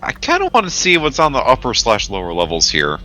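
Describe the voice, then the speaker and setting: A man's voice: mid-range voice, wildly swooping pitch, brisk, sharp tone, faint music behind. A man playing a tabletop role-playing game, chatting casually and speaking in character. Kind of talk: casual in-character play and chat.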